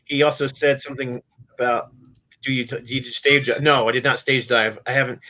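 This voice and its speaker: A man's voice: mid-range voice, steady tone, brisk, sharp tone, dull audio. A middle-aged man hosting a music podcast in casual chat, matter-of-fact and openly opinionated. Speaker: podcast host.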